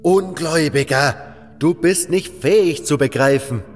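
A man's voice: a little high, a little slow, long reverb tail, faint music behind, pitched above the performer's own voice, close to an everyday voice. A man voicing a haughty, fanatical cult priest in a fantasy audio drama, proclaiming solemnly and scornfully. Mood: scornful, fervent.

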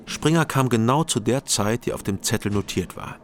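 A man's voice: mid-range voice, expressive, moderate pace, soft background music, close to an everyday voice. A man in his thirties narrating a crime radio drama in the first person, dry and reflective. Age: 30s. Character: former-drunk male detective narrator, world-weary.

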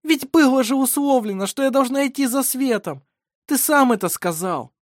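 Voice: sits high, lively intonation, moderate pace, dry recording, clean studio sound, voice pushed up. A reader voicing a proud, embattled young heroine who cries out accusingly in an audiobook. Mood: indignant. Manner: crying out, accusing.

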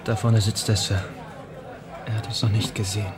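An actor playing a young, obedient deputy in a German radio western, speaking in a hushed, watchful way. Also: male voice, fairly low pitch, flat delivery, normal speed, a loud crowd, natural voice. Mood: alert, hushed. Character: young, obedient male deputy in frontier America.

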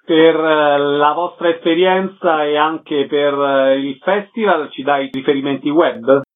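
A man's voice: fairly high pitch, steady tone, unhurried. A man hosting a radio programme, putting questions to guests and giving announcements. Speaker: radio host interviewer. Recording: slightly dull sound, faint noise.

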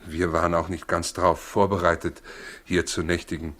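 An actor in a 1960s German radio play, playing Joseph as a brooding, earnest, humble new father with a husky voice. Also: deep voice, flat delivery, moderate pace, fairly bright tone. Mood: guarded, polite.

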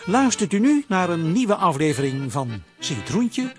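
A man's voice: high-pitched, very animated, measured pace, soft background music. A man reading a scripted introduction as the announcer of a radio drama.

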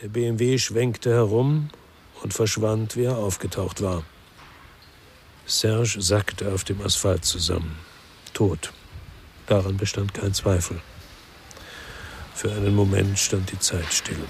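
An actor playing a weary, bitter former policeman who narrates a noir crime radio drama in a measured, laconic way. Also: lowish voice, fairly even intonation, gravelly, slight background noise. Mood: grim, reflective.

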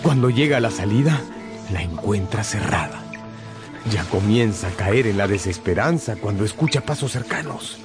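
A man's voice: fairly low pitch, animated, normal speed. A man narrating a scripted radio drama as a dramatic storyteller. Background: prominent music.

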